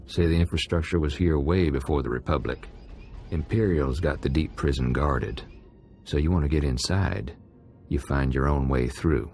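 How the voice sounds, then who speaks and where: A man playing a tough, laconic, suspicious prison gang convict in a game, gruff, clipped and wry. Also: very deep voice, moderate pace, darkish tone, soft background music. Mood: wary, blunt. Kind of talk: game cutscene voice acting.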